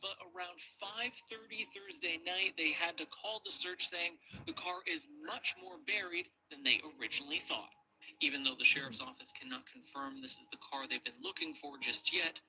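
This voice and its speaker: A TV news anchor reading a story in a smooth, even broadcast style. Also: medium pitch, low-quality recording.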